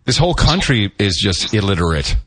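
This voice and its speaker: Rambling, low-voiced middle-aged man. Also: fairly quick, slight background noise. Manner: rambling, animated, many restarts. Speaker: podcast co-host. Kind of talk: casual chat.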